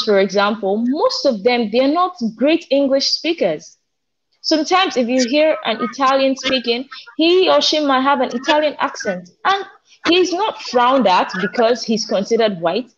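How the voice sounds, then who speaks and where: A woman's voice: high voice, animated, normal speed, slight background noise. A young African woman co-hosting a casual discussion from home over a video call, talking with passion and gesturing. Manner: passionate, gestures while talking, some restarts. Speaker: co-host. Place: indoors at home. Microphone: video call, front camera. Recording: muffled video call audio.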